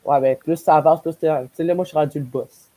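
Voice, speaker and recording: A man's voice: fairly high pitch, steady tone, fast, mellow, no room sound. A young man with a Québécois accent chatting casually on a podcast panel over a video call. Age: young adult. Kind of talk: casual chat. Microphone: headset or earbuds on a video call.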